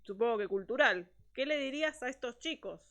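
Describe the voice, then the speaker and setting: A woman's voice: fairly high pitch, animated, brisk, a bit bright. A woman hosting an interview, asking short, direct questions in a friendly way. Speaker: interviewer, host. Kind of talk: conversational interview.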